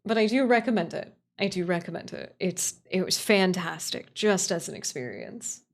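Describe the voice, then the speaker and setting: A woman's voice: on the low side, animated, moderate pace. A woman chatting casually on a livestream, answering viewers in a gushing way and repeating phrases for emphasis. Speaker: livestream host.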